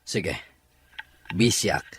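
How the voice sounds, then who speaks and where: A man playing a troubled businessman searching for his child in a serial drama. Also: extremely slow. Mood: terse, frustrated. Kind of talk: Ilocano radio-style serial drama acting.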